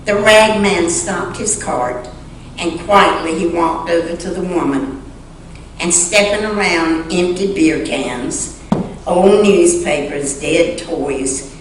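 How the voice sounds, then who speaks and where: A woman reading a story aloud in a dramatic, deliberate way, pausing expressively between short phrases. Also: on the low side. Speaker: church member reading a story to the congregation. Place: large church sanctuary. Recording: echoey church sanctuary, distant mic, faint noise.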